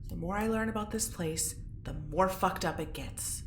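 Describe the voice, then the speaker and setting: An actress playing an anxious, sharp-tongued young woman in a sci-fi audio drama, speaking bluntly and informally. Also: fairly low pitch, normal speed, lightly acted. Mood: bitter, tired. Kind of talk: audio drama acting, naturalistic dialogue. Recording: roomy echo, faint background noise.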